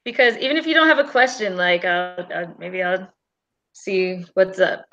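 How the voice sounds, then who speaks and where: A woman's voice: fairly low pitch, normal speed, a bit bright, dull audio, slight background noise. A woman in her early thirties, a guest chatting casually and giggling often. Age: 30s. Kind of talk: casual livestream chat.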